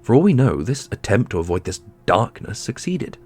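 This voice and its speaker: A man's voice: on the low side, very animated, moderate pace, darkish tone, faint music behind. A man playing a casual, irreverent young adventurer in a fantasy audio drama, with a wry way of talking. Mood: defiant.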